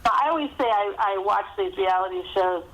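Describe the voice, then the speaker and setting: A woman's voice: mid-range voice, lively intonation, normal speed, lo-fi sound. A woman speaking as a guest author in a casual podcast interview, chatty and self-deprecating.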